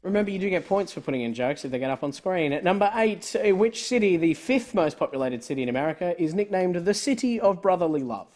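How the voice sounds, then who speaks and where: A man's voice: high voice, lively intonation, fast talker, hardly any pauses. A man born in Scotland hosting a live online quiz, joking with his co-host and laughing often. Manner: jokey, laughing often, trailing off quietly.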